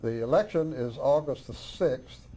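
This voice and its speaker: A middle-aged man hosting a local candidate forum, talking haltingly with many "uh" fillers. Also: mid-range voice, dark tone, slightly rough. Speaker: talk show host.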